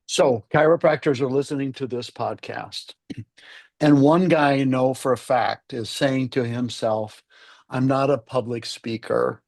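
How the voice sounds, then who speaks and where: A man hosting a podcast interview, calmly asking questions on behalf of his listeners. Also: medium pitch, unhurried, vocal fry. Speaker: podcast host.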